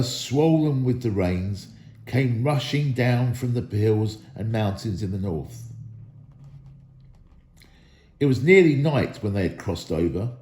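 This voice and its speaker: A man reading a storybook aloud as an expressive narrator. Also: mid-range voice, echoey room. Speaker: storybook reader.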